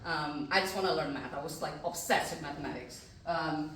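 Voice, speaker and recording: A woman's voice: low voice, brisk, fairly bright tone, a little hiss or hum. A middle-aged woman from Indonesia with an Indonesian accent, a maths professor telling her story on a panel in an earnest, chatty way with many fillers. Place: festival panel room. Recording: echoey room, distant mic.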